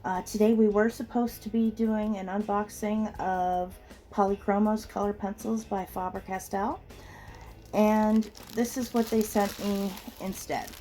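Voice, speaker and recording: A woman with a slightly rough, under-the-weather voice, giving a chatty, casual unboxing commentary as host of an art channel. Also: medium pitch, level tone, a little slow, dark tone, a little hiss or hum. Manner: chatty, reacts aloud with small exclamations. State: rough voice from being ill.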